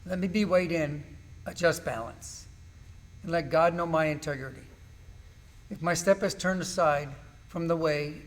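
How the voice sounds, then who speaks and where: A man performs a suffering, righteous man from a Bible drama. His voice sits fairly high for a male, and he declaims his grievance and innocence in solemn, measured phrases. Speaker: amateur actor in a church class drama. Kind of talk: dramatized scripture recitation.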